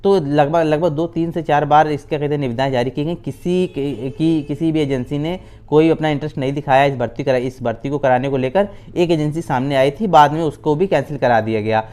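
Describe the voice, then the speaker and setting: A man's voice: fairly high pitch, a little fast, hardly any pauses, a little mellow, clean tone. A man giving an informal explainer as a video host, repeating himself and often using filler phrases and check-in tags. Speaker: YouTube host giving exam updates. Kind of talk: informal explainer addressed to exam candidates.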